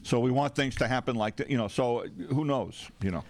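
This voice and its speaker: A man's voice: medium pitch, fairly quick, no echo. An older American man co-hosting talk radio, chatting off the cuff with wry, hesitant interjections. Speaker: radio show co-host. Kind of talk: casual off-the-cuff chat. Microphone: close studio microphone.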